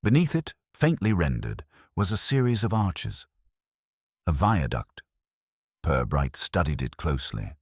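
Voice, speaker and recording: A man's voice: sits low, very animated, a little slow, no echo. A man narrating a scripted mystery story in a dry, literary manner.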